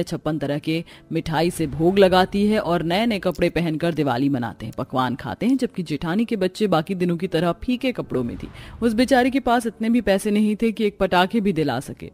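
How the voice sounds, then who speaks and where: A woman's voice: fairly low pitch, darkish tone. A woman narrating a scripted cartoon family story.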